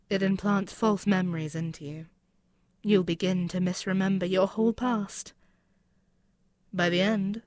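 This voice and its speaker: Woman as an imperious, low-voiced demon queen. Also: a little mellow, no echo, natural voice. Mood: gloating. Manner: haughty, taunting, theatrical, dropping at times to near-whisper. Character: imperious demon queen, female, scheming, exasperated, menacing. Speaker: audio roleplay voice actor. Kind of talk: scripted ASMR audio roleplay, dramatic monologue.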